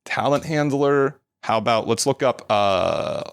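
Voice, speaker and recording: A man's voice: mid-range voice, a little slow, dry recording. A man playing as a guest in a tabletop game, chatting loosely and casually. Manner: loose and chatty, with frequent fillers. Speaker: guest player.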